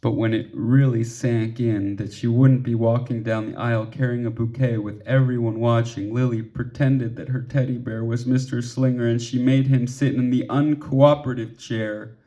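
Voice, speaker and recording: A man reading a children's story aloud expressively, with measured pauses and emphasis for drama. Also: medium pitch, flat delivery, warm tone. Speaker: storybook reader.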